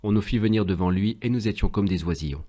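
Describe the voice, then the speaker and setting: A man voicing a gentle, pious father patiently teaching his children in a French cartoon, measured and didactic. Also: lowish voice, close to an everyday voice. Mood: earnest teaching.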